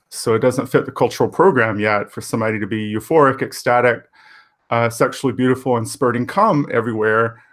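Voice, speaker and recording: A man in his fifties, a panel guest, speaking earnestly and thoughtfully with pauses. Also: medium pitch. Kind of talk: casual panel discussion.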